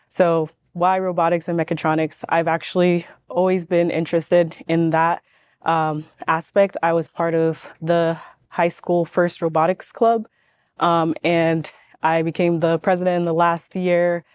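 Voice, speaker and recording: A young woman speaks on a panel in a smooth, clear voice. Her pitch stays fairly level as she tells her own story informally. The sound is dry and close, with little room around it. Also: a little mellow, dry recording. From born in Ghana, grew up in Washington state, US. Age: young adult. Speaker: panelist, manufacturing engineer. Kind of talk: informal personal introduction on a panel.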